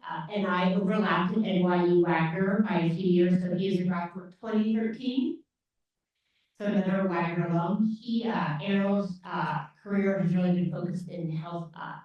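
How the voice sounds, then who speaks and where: Moderator lady introducing a panel, hesitant and chatty, far off mic in a big echoey room. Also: lowish voice. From grew up on a dairy farm. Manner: hesitant, many fillers. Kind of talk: introducing panelists at a live event. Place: large echoey lecture room. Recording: distant echoey hall audio, dull.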